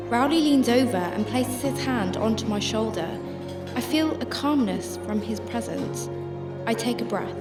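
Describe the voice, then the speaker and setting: A woman playing a grieving mother searching for her missing husband in a radio drama, with a halting, emotional delivery. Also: sits high. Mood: tearful, distraught. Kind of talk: radio drama acting and first-person narration. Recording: music under the voice.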